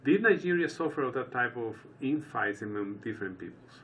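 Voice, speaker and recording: A man hosts a radio interview, introducing his guest and asking questions in a conversational, halting way full of restarts. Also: mid-range voice, lively intonation, a bit bright, recorded from a distance, slight background noise.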